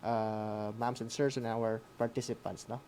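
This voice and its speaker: Filipino man co-hosting, halting and filler-filled. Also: fairly low pitch, little pitch movement, darkish tone, a little hiss or hum. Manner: halting, frequent filler words and tag questions. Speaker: radio school co-host. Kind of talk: casual hosting of an online class, code-switching.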